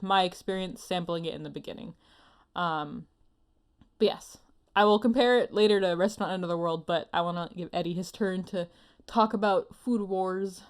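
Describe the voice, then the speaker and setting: A woman hosting a casual chat in an informal, self-deprecating, talkative way. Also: lowish voice. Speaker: podcast host.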